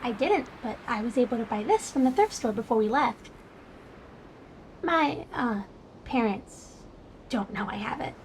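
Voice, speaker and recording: Shy, high-pitched teenage girl played by a woman. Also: expressive, unhurried, long pauses, a little hiss or hum, low-quality recording. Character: shy, eager teenage girl, the narrator's best friend. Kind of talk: audio drama acting.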